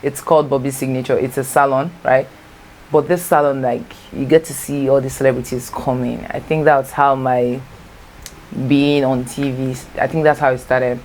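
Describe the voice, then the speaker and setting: A very low-voiced young woman with a Nigerian accent talks through a storytime tag in a loose, rambling way, doubling back to correct herself. Her first language is Igbo. There's a faint hum of room ambience behind her. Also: steady tone, normal speed, a little mellow, rough recording. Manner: chatty, rambling, self-correcting. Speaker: vlogger. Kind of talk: casual storytime chat. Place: home, natural light.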